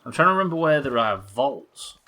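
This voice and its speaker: A man with a bright, forceful voice at an ordinary male pitch. He commentates casually as a game streamer, musing out loud and often questioning his own reasoning. The talk is informal and a bit sweary. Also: big pitch swings, a little fast. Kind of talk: casual gaming livestream commentary, thinking aloud.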